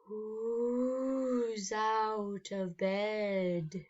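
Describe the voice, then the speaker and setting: A woman's voice: mid-range voice, little pitch movement, drawn-out words, a little mellow, dull audio. A woman voicing a spooky male monster with tentacles in a storybook, in an icy hiss. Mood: eerie. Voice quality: icy, hissing.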